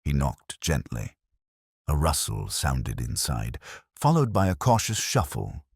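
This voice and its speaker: A man's voice: a bass voice, huge pitch swings, unhurried, gravelly, husky, no room sound, studio quality. A man reading scripted story narration in a formal, literary storytelling manner.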